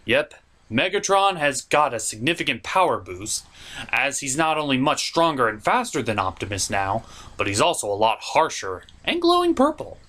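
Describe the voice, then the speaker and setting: A man's voice: mid-range voice, very animated, normal speed, bright, forceful tone. A man hosting a cartoon review show, delivering scripted comedic narration in a theatrical, jokey, emphatic way.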